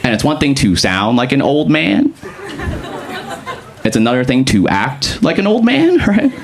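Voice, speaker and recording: A young man from Boston with a deep voice, doing a casual stand-up comedy set with lots of fillers. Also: big pitch swings, measured pace, a faint crowd. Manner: casual, conversational delivery with frequent fillers. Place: comedy club stage. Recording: comedy club with clear audience noise.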